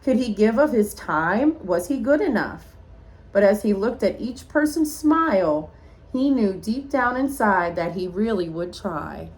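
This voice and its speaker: A woman's voice: mid-range voice, a little slow. A woman reading a rhyming picture book aloud like a teacher, expressively, with small asides to young listeners. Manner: expressive storytelling, addressing children.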